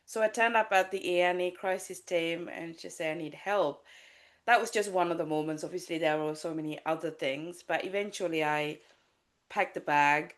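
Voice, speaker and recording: A low-pitched woman from Sri Lanka speaks as a guest on a podcast. She talks candidly and haltingly, often restarting her sentences and stopping on fillers as she works through a personal story. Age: adult with a child. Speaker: life coach, guest.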